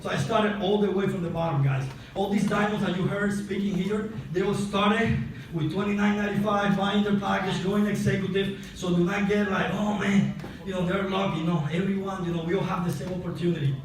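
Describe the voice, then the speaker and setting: A man from Cuba, a Spanish speaker, giving an excited, chatty motivational testimonial while out of breath. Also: sits high, brisk. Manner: excited, chatty, addresses crowd often. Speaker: testimonial speaker at a sales convention. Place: large event hall on stage. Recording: echoey hall, distant mic, noisy.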